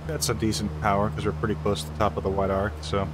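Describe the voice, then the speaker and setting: A man's voice: lowish voice, quick pace. An American man giving casual, easygoing tutorial commentary on a flight simulator, thinking aloud and making pilot radio calls. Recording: steady hum and engine drone. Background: flight simulator engine hum.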